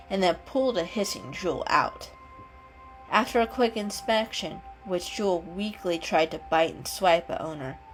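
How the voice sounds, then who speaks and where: A woman's voice: fairly low pitch, rough recording. A woman giving a dramatic reading of an animal story as its narrator. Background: background music.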